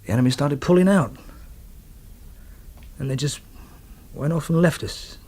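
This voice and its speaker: A man plays a former soldier in a radio drama, telling his war story with grim understatement. His voice is husky and rough-edged, and he proceeds haltingly, with dry, bitter wryness. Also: a little high, darkish tone, natural voice. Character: former soldier grimly recounting a wartime killing.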